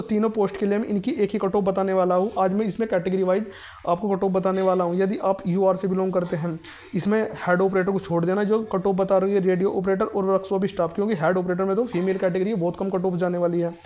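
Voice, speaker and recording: A man's voice: sits high, level tone, fast, lo-fi sound. A man explaining exam information to students informally, in a repetitive, insistent, emphatic manner. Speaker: exam prep presenter.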